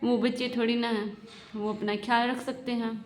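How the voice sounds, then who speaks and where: A woman performing a satirical first-person spoken-word monologue in short, deliberate phrases. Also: on the high side, level tone. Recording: roomy, echoing voice recording.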